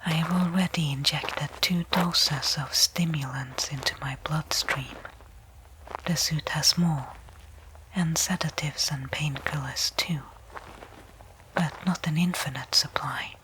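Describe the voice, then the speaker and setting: A woman playing a tense stranded space explorer in a breathy, hushed ASMR audio roleplay monologue, phrasing deliberately with pauses. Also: low voice, background noise. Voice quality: breathy, unvoiced whisper.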